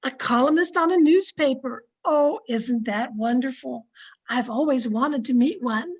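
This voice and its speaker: A woman's voice: high-pitched, measured pace, slightly rough. A woman playing a cheerful, meddlesome older unmarried aunt in an old-time radio drama, chatty and knowing. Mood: gracious, chatty.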